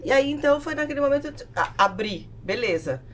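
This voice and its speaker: A woman with a southern Brazilian accent telling stories in an animated, casual podcast conversation, acting out what people said. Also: sits high, quick pace, slight background noise. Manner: animated storytelling, acts out quoted remarks. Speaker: podcast guest, business owner.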